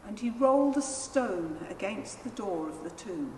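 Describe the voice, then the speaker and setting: A woman narrating a Gospel in a solemn, measured and deliberate reading style. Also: medium pitch, expressive, mellow. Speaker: scripture reader, narrator. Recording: echoey church hall, faint noise.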